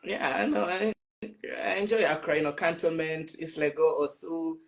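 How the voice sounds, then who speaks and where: A man's voice: on the high side, fairly even intonation, moderate pace. A man hosting a casual online chat, speaking informally with playful, teasing banter. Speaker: host of an online panel chat.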